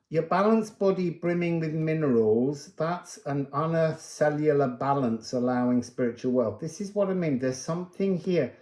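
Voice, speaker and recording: A man giving an unscripted spiritual card reading, musing aloud with pauses and emphatic phrases. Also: on the high side, dark tone, roomy sound. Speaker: card reader and spiritual guide.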